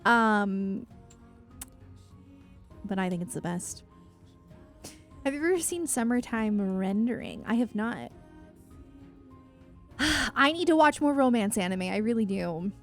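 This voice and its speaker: A woman's voice: medium pitch, animated, takes it slowly, long pauses, faint music behind. A woman chatting casually on a livestream, rambling and effusive, with a self-deprecating way of talking as she answers her viewers.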